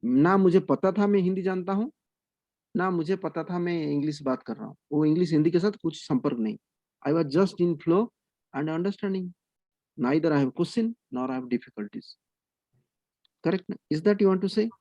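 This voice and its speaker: A man's voice: a little high, animated, racing, dark tone, no room sound. A man teaching an online class, mixing Hindi and English and coaxing his students to speak up. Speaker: Sanskrit teacher. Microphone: video call.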